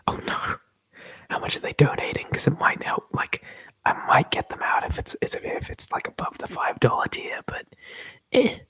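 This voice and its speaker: A man's voice: normal speed, pushed and bright, rough recording. A man whispering through an ASMR livestream, rambling and joking as he reads viewer comments aloud. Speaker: ASMR streamer.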